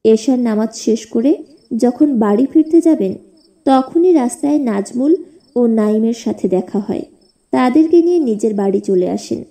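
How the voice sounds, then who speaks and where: A female narrator tells a scripted moral story for a cartoon. Her voice is fairly high yet extremely mellow and rounded in tone. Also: expressive, measured pace.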